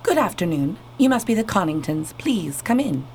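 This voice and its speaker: Deferential, animated housemaid played by a woman. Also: fairly low pitch, moderate pace, gravelly. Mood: formal. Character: timid, deferential housemaid, easily dismayed. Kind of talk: radio play acting. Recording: faint background noise.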